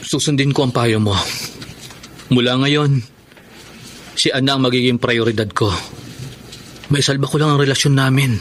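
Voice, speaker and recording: An actor playing a jealous, pleading young boyfriend in a Tagalog radio drama, intense and melodramatic. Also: male voice, mid-range voice, steady tone, moderate pace, long pauses, slight background noise, natural voice. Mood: troubled.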